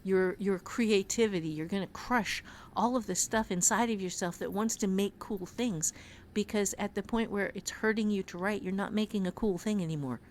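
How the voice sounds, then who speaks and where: A woman's voice: medium pitch, normal speed, a little hiss or hum. A woman speaking as a writing teacher in a conversational podcast, earnest and emphatic, repeating phrases to drive her point home.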